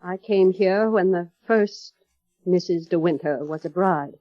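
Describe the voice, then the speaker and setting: An actress playing a cold, formal middle-aged housekeeper in 1930s radio drama, measured and quietly menacing. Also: female voice, lowish voice, no echo, lightly acted. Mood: stiff, resentful. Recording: dull, muffled vintage radio broadcast, music.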